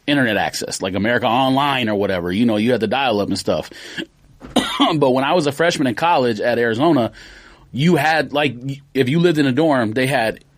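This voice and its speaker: A man in his forties chatting on a podcast, meandering through his recollections with restarts and fillers. His voice sits at an ordinary middle pitch, and the recording is dry with little room sound. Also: brisk, dry recording. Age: 40s. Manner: rambling, with many restarts and fillers. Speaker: podcast host. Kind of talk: casual chat.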